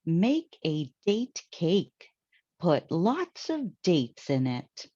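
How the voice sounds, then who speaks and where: A busy lady of the house from a storybook, as a woman narrator puts her on, bossy yet good-humoured. She exclaims and instructs with big pitch swings in a mellow tone, on a dry, slightly muffled recording. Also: big pitch swings, drawn-out words, husky, no room sound, exaggerated voice. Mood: instructing.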